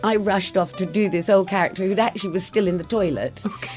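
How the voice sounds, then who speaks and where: A woman guest telling a comic anecdote about a stage mishap, with a deep voice. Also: fast talker, a little mellow, faint music behind. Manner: comic storytelling. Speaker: guest actress.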